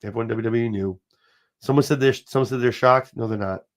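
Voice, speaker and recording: A man's voice: mid-range voice, brisk. A man in his forties with a slight cold, hosting a casual commentary livestream in a rambling, emphatic way, talking straight to viewers. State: has a cold, sniffling. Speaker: show host.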